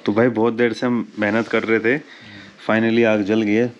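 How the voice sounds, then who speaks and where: A man's voice: fairly low pitch, little pitch movement, moderate pace, muffled recording. A man vlogging casually at a campsite at night, chatting to his viewers and calling them brother. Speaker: travel vlogger. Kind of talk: casual vlog narration to viewers. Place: campsite at night, outdoors and in a tent.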